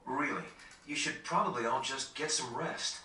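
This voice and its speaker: An actor playing a kind, modest, easygoing young ship captain in a video game, courteous and genial. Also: male voice, medium pitch, moderate pace, pushed and bright, reverberant, far from the mic, a little hiss or hum. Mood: gracious, easygoing. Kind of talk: video game cutscene acting.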